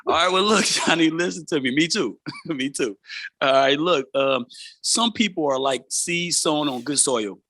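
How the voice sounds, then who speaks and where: A man hosts an informal interview. His voice is bright and forceful, recorded dry and close. He talks in a streetwise, motivational way and keeps tagging his points with a check-in. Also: a little high, a little slow, no room sound. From San Francisco Bay Area, United States. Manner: streetwise, often checks in with "right?". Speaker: show host, interviewer.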